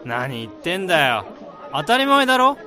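A man's voice: a little high, wildly swooping pitch, normal speed, sharp tone, soft background music, exaggerated voice. A man acting in a radio drama as an easygoing married friend of a groom, around thirty, casual and bantering. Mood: friendly teasing. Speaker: lead actor and narrator. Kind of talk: radio drama acting with first-person narration. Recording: busy crowd noise behind the voice.

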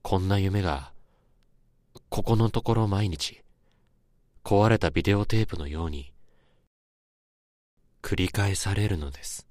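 A man's voice: sits low, unhurried, pauses often, a little mellow, dry recording, studio quality. A man acting in a radio drama as a sleepless, uneasy young office worker haunted by nightmares, narrating and speaking politely to colleagues. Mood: haunted, reflective.

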